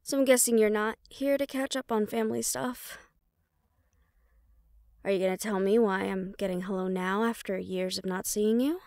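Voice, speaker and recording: A woman's voice: medium pitch, steady tone, normal speed, gravelly, darkish tone, no room sound, clean studio sound, natural voice. A woman playing a defiant, loyal young banshee daughter in an audio roleplay, sarcastic and casual. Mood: guarded, wry.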